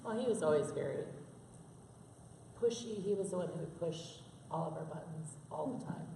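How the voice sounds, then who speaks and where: A woman, hoarse from allergies, talking frankly about parenting. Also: sits low, lively intonation, normal speed, mellow, a little hiss or hum. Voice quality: gravelly. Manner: frank, chatty, laughs often. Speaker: interview guest, mother. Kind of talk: candid conversational interview. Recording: echoey room from a distance.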